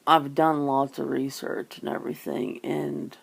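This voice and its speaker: A woman's voice: extremely low pitch, flat delivery, unhurried, creaky, breathy edge. A woman in a casual, rambling chat, musing informally as she hosts. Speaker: ASMR channel host chatting with a guest.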